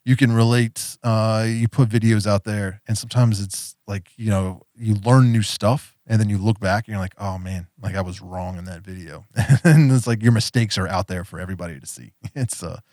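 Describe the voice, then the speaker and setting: A man's voice: fairly low pitch, normal speed, few pauses, a little mellow, no room sound, clean studio sound. A man hosting a podcast in casual chat, rambling with lots of fillers and easy laughs. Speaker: podcast host.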